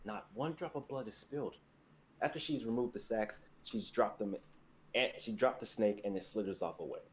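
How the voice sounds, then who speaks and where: A man's voice: on the low side, normal speed, a little hiss or hum. A young man reading scripted dramatic fantasy narration for a livestream. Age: young adult.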